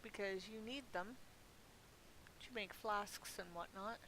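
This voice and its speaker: A woman's voice: mid-range voice, takes it slowly, pushed and bright, poor audio. A middle-aged woman giving casual, rambling gameplay commentary in a chatty, self-amused way, as if thinking aloud. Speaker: gaming video host. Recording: clearly audible background hiss.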